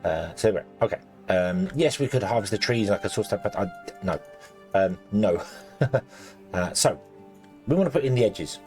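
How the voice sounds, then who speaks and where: A man commentating his gameplay casually, rambling with lots of ums and restarts, and a little croaky as he loses his voice. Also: fairly low pitch, lively intonation, normal speed, a little mellow, soft background music. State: slightly croaky, losing his voice. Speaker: let's play host.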